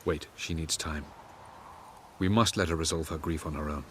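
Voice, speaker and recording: An actor playing a calm, aloof male elven sage who mentors a young woman, speaking in a measured, stern way in a game cutscene. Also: a bass voice, slight background noise. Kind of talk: video game cutscene acting.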